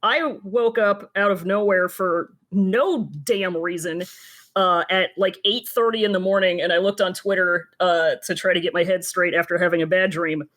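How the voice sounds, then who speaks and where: A woman's voice: lowish voice, fairly even intonation, moderate pace, fairly bright tone. A woman in her thirties co-hosting a casual podcast chat, wry and sweary, often hesitating as she searches for words. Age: 30s. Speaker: podcast co-host. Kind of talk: casual chat about anime and voice actors.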